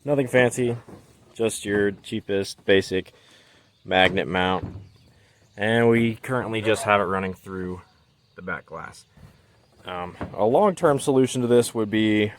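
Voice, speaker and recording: A man's voice: lowish voice, a little slow, pauses often, a little hiss or hum. A man hosting a casual DIY garage vlog, talking informally through a hands-on job as he works. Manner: informal, talks while working. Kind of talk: casual hands-on how-to explanation.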